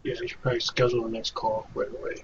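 A man's voice: mid-range voice, monotone, racing. A man explaining things informally on a work call, often repeating words as he goes. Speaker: extension staff member. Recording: dull conference-call audio, faint noise.

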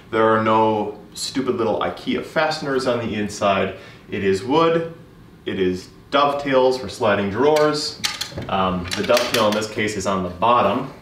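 A man in his thirties showing round his bedroom in a relaxed, chatty way, with frequent ums and restarts. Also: on the low side, recorded from a distance, a little hiss or hum. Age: 30s. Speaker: woodworking vlogger. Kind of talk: casual walkthrough. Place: bedroom with wood floor. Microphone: camera at a distance. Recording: roomy, from off-mic.

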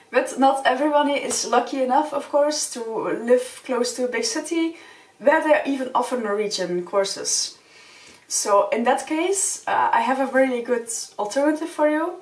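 A young woman whose first language is Dutch, giving earnest, friendly advice straight to the camera in a small wood-panelled room. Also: on the high side. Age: young adult. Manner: friendly, earnest, gestures with open hands. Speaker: vlogger. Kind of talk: casual advice talk to camera. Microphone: camera a few feet away. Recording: roomy sound from a distant mic.

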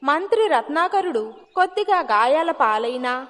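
A woman's voice: sits high, lively intonation, a little slow, fairly bright tone. A woman giving scripted narration of a moral story as its narrator. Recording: long hall-like reverb, music behind.

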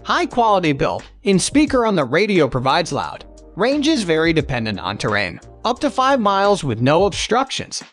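A man with a high-pitched voice reads a scripted product review. His intonation moves up and down in a lively way, though the delivery is stiff and read out. Music plays behind him. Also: moderate pace. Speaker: narrator of product review videos.

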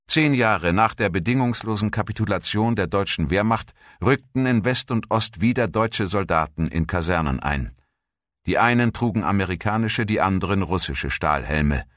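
A man's voice: low voice, fairly bright tone, dry recording, clean studio sound. A man reading scripted documentary narration as a narrator.

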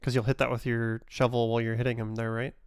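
A man co-commentating a speedrun showcase, chatty and asking quick questions. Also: medium pitch, little pitch movement, clear, resonant tone, no echo, studio quality. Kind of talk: casual live commentary.

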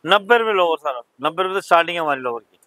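A man's voice: on the high side, animated, racing, sharp tone, no echo, poor audio. A man, a wholesale clothing shopkeeper eagerly walking a visitor through his stock. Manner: eager, repetitive, keeps pointing out items. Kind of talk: sales pitch showing stock. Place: clothing shop.

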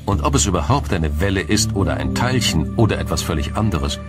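A man narrating a scripted science documentary in a measured, formal, explanatory manner. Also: low-pitched, lively intonation, loud music behind the voice.

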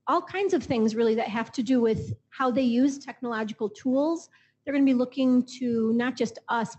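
Husky-voiced woman on a panel stage. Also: a little high, a little mellow, low-quality recording. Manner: measured, thoughtful, builds long lists. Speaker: panelist, association executive. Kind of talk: panel discussion answers. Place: auditorium stage.

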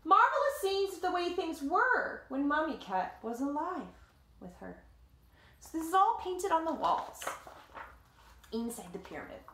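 A woman in her thirties reading a picture book aloud to children like a teacher, with dramatic, deliberate phrasing and simple explanations, recorded in a living room. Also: extremely high pitch, very animated, a bit bright, a little hiss or hum. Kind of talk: expressive storybook read-aloud with explanatory asides. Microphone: camera or phone a short distance away. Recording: roomy home echo.

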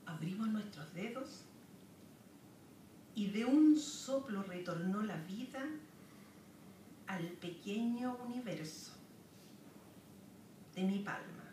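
A Chilean woman recites poetry from a podium at a very slow, measured pace, pausing between each line. She sounds distant, picked up by a camera across a wood-paneled lecture room with a roomy echo and audible background hiss. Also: mid-range voice, expressive, dark tone. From southern Chile. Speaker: poet. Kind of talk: poetry reading with spoken asides. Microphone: camera far across the room.

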